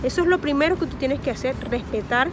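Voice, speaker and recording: High-voiced Venezuelan woman vlogging in a park. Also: lively intonation, fast talker, background noise. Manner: earnest, repeats words while searching for them. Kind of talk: advice monologue to camera. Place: park outdoors among trees. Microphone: phone or camera at close range, face masked.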